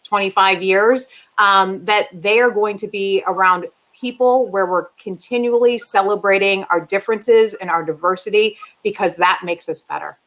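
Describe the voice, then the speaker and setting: A woman with a medium-pitched voice speaks on a panel. She talks personally and conversationally, dropping in "you know" and checking her points with "right?". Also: steady tone, normal speed, few pauses, a bit bright, poor audio. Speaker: conference panelist. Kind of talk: personal panel talk on diversity and business.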